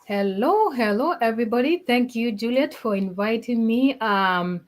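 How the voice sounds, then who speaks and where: A woman from Kenya co-hosting a live online talk, speaking in a polite, steady way. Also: a little high, normal speed. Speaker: co-host. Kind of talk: live stream introduction.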